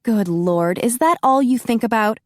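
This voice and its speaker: Woman reading a mad young woman chewing someone out, higher voice, dry close sound. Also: lively intonation, moderate pace, no echo, lightly acted. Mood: angry. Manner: heated, exasperated scolding. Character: indignant young woman, fiery and defiant.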